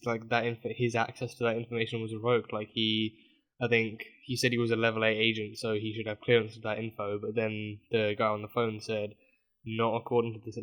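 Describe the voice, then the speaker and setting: Raspy-voiced man rambling casually. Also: lowish voice, a bit bright, poor audio. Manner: rambling, many fillers and restarts. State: hoarse from a sore throat. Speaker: reaction video host. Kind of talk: casual commentary on a TV episode.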